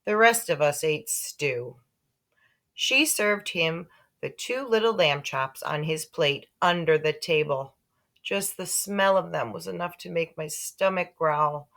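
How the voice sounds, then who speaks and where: A woman reading a children's book aloud in an expressive storytelling style. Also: low-pitched, slow. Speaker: story reader.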